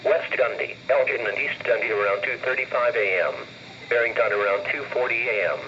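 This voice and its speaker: Male synthetic weather-radio announcer, mid pitch, formal and impersonal, reading warnings through a dull, noisy broadcast. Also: bright, forceful tone. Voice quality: synthetic text-to-speech voice. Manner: formal, impersonal, evenly measured. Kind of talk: scripted emergency weather warning readout.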